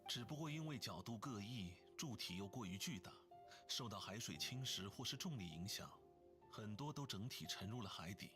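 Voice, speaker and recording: Actor as deep-toned, composed scholarly gentleman. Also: lively intonation, close to an everyday voice. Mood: calm, explanatory. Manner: measured, formal, explanatory. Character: composed, learned gentleman consultant, scholarly and unhurried. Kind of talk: video game voice acting. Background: game music.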